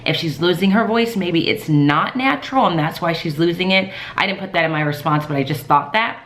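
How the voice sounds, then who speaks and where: Teacher lady vlogging, soft calm voice, pretty low, kinda echoey room. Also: fairly quick. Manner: soft-spoken, calm, lots of fillers. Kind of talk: casual vlog talk.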